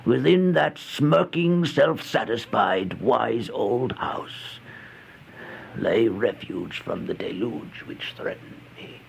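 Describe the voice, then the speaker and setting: An actor playing an exhausted, starving homeless man who recounts a horror tale in a halting, breathy, theatrical old-time radio style, often dropping toward a whisper. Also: medium pitch. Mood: bitter, ominous. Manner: halting, slow, theatrical, anguished. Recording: noisy old radio recording.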